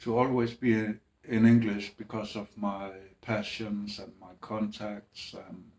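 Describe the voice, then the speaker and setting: A man speaking in a halting, hesitant way, with restarts and long pauses, in a personal monologue. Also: lowish voice, level tone, a little mellow. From Greenland. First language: Danish.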